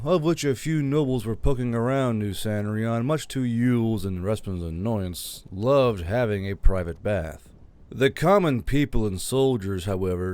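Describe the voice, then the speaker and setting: A man's voice: mid-range voice, a little mellow. Man narrating a fantasy story. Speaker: audiobook narrator, channel host.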